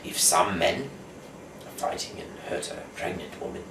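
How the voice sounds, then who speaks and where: Casting: man from the UK, very low voice, halting amateur reader, recorded at a distance in a reverberant room. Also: animated. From United Kingdom. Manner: halting, stumbles over words. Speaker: host, amateur Bible reader. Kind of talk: casual rambling talk, then reading scripture aloud. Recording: echoey room, distant mic, clear background noise.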